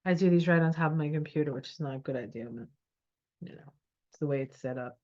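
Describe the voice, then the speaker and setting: Stuffy-nosed woman, lowish voice, lo-fi. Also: quick pace, darkish tone. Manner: encouraging, reassuring. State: stuffy nose. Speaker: tarot reader. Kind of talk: casual tarot reading to camera. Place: home desk at her computer. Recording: dull lo-fi sound.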